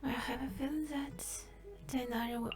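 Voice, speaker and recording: A woman giving casual gameplay commentary, with a hesitant manner that turns soft in places. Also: high voice, steady tone, normal speed. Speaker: gameplay video creator. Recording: game music behind voice. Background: game music.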